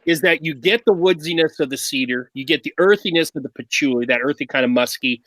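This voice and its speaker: Bright, dry-voiced enthusiastic man. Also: a little high, no room sound, low-quality recording. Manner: enthusiastic, drawn-out phrasing. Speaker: show host, reviewer. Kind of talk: casual product review chat. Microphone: video call.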